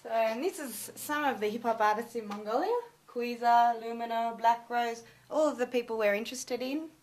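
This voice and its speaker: A twenty-nine-year-old Australian woman briskly showing and listing the parts of a documentary project. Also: fairly high pitch. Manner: brisk, runs through lists. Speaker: documentary crew member. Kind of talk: casual explanatory talk to camera.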